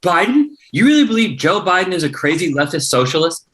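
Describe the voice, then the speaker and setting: A man's voice: high voice, very animated, a little fast, a bit bright. A man acting an argumentative, indignant progressive son at a family dinner, incredulous and combative, in a comedy table read.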